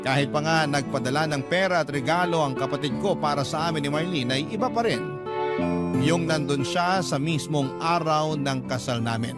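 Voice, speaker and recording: An actor playing a devoted Filipino family man, telling his life story in a measured, heartfelt radio storytelling style. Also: fairly high pitch, close to an everyday voice. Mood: wistful. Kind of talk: dramatized first-person radio storytelling. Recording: clear guitar music bed behind narration.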